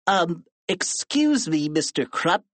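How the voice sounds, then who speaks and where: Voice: medium pitch, sing-song, takes it slowly, dry recording, pitched above the performer's own voice. A narrator voicing a smug, self-important tattletale schoolboy in a children's story. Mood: prim.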